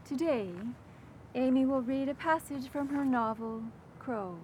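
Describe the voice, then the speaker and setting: An older woman presenter giving a prepared, formal introduction with measured, deliberate phrasing. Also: a little mellow. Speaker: event presenter introducing an author. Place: outdoor garden by a brick wall. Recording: rumbly outdoor background noise.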